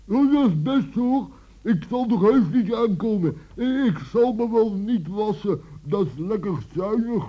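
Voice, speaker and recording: An actor voicing a silly, muddled, childlike badger with a husky voice in a children's radio play. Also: male voice, high voice, lively intonation, measured pace, dull audio. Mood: whiny, relieved. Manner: muddles words, bumbling. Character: dim-witted, muddled, lazy badger, childlike and talkative.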